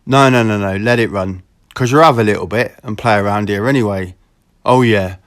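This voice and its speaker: A man's voice: on the low side, a little fast. A man with a southeast England accent chatting casually in slangy, sweary language on a recorded telephone call. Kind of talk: casual phone chat.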